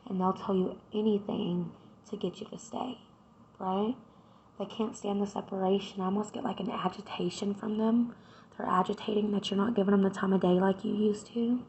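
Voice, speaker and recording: A woman, hoarse from a cold, doing a casual tarot reading and chatting straight to her viewers. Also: mid-range voice, steady tone, moderate pace, darkish tone, dull audio, a little hiss or hum. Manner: chatty, addresses viewers directly with repeated "right?". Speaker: tarot reader.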